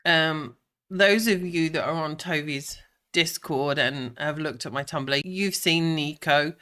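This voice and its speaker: A voice, a bit croaky and stuffed up from a cold, talks over a life-sim game. The delivery is slow and unhurried, with a bright, clear edge. The speaker rambles on easily, with plenty of ums and restarts. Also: mid-range voice. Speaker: Sims gameplay creator. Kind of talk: casual gameplay commentary.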